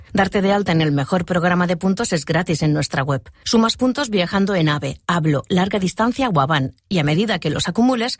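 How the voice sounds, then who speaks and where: A female advert narrator with an accent from Spain. Her voice is fairly low and recorded very dry, reading scripted promotional copy for radio. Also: no room sound. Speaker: advert voice-over.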